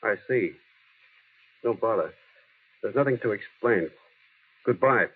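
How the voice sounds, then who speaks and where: An actor playing an earnest, shy, plain-spoken small-town man, halting and sincere, in a vintage radio drama. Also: fairly low pitch, lively intonation, slightly rough. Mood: hurt, bitter. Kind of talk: 1930s-40s radio drama acting. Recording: muffled, lo-fi old radio recording.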